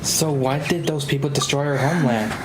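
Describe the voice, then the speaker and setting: A man's voice: mid-range voice, fairly quick. A man streaming a game live, reacting casually with slangy, incredulous remarks. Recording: echoey, game music behind.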